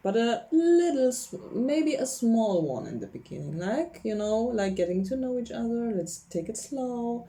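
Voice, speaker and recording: Woman, mellow voice, chatty tarot reading, laughs a lot. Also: fairly high pitch, animated, normal speed, hardly any pauses. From Poland. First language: Polish. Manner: chatty, laughs often, gropes for English words. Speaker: tarot reader. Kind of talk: casual tarot reading to camera.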